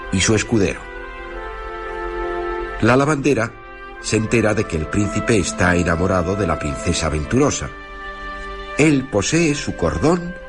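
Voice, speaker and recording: Low-voiced male radio narrator over music. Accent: Castilian Spanish. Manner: deliberate, short phrases. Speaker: narrator and presenter. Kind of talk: scripted radio story recap narration. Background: prominent background music.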